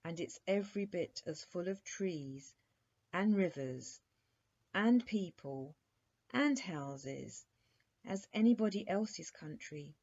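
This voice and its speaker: A woman's voice: on the low side, lively intonation, slow, a little mellow, exaggerated voice. A woman voicing a courteous, wistful young prince in a storybook, polite and a little plaintive. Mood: explanatory. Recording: dull, muffled lo-fi recording.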